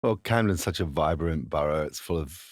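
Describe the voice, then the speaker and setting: A man from Australia hosting a studio podcast, asking questions in a halting way that stumbles over words. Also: extremely low pitch, no room sound. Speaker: podcast host. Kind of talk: podcast interview.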